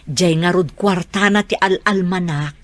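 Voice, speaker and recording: A woman's voice: lowish voice, measured pace, no echo, close to an everyday voice. A woman playing a flirtatious, money-minded mother in a radio drama, exclamatory, with an Ilocano accent. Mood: scheming. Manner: exclamatory, coquettish.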